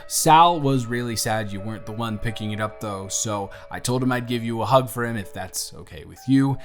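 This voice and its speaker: Man acting as a caring young boyfriend, lowish voice, gentle, reassuring, affectionate, intimate audio roleplay, music behind. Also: normal speed, natural voice. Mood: cheerful, playful. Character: tender, reassuring young boyfriend comforting his partner. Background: instrumental music.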